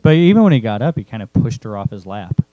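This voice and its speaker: A man's voice: lowish voice, sing-song, fast, no echo, phone-quality sound. A man talking casually in a group discussion of a piece of writing, as its author and a panelist.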